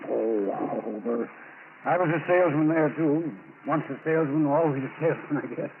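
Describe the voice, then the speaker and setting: A man's voice: a little high, normal speed, lightly acted. An actor playing an affable, evasive salesman with an over-polite folksy manner and nervous chuckles, in 1940s radio style. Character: affable evasive salesman hiding a criminal past. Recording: noisy tinny 1940s radio recording.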